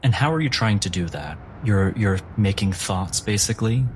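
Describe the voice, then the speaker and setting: A man running a tabletop game as game master, narrating scenes in a measured, descriptive way and calling for rolls. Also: fairly low pitch, expressive, slight background noise. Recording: loud music score underneath.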